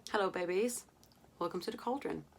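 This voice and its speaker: A woman's voice: mid-range voice, fairly quick, gravelly. A young woman from Denmark, chatting to camera about books in a playful, casual way, with many fillers and casual swearing. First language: Danish. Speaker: book vlogger.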